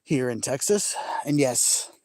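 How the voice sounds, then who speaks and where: Brief: middle-aged guy, faint Maine accent, mid-pitched, rambling and easygoing, dry phone audio outdoors. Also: expressive, slow pace, a little mellow, no room sound. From Maine, New England. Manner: chatty, rambling, laughs easily, frequent restarts. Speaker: vlogger. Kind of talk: casual live chat with viewers. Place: outdoors by a large lake at sunset. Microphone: phone camera held by hand.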